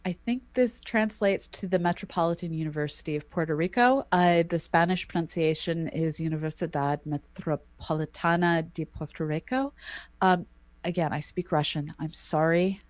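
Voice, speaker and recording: A woman co-hosting an astronomy podcast, explaining at length in a measured, thoughtful way with frequent ums. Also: fairly low pitch, no room sound. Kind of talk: casual explanatory chat.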